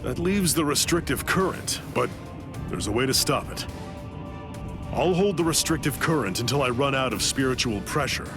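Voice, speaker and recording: An actor playing a grave, knowing warrior mentor in an anime game, explaining seriously and with command. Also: male voice, medium pitch, expressive, moderate pace, over music, close to an everyday voice. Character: grave, knowing Soul Reaper mentor to a young fighter. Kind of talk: English anime game voice acting.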